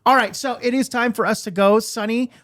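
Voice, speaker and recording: A man's voice: extremely high pitch, steady tone, brisk, fairly bright tone, no echo, studio quality. A man hosting a podcast in a casual, informal debate chat. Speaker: podcast host.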